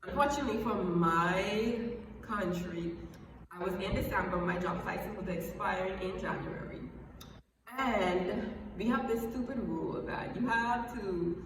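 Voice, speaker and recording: A woman's voice: medium pitch, a little slow. A young Bahamian woman giving chatty, emphatic advice in a casual vlog, often repeating herself for emphasis. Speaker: vlogger. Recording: echoey room, far from the mic, background noise.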